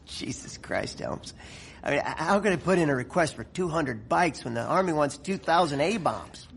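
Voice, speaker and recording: A man's voice: on the high side, lively intonation, moderate pace, gravelly. An actor playing a blunt, blustering middle-aged American general in a radio drama, folksy and profane, tripping over his words. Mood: incredulous, mocking. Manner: stammering, exasperated, profane, folksy.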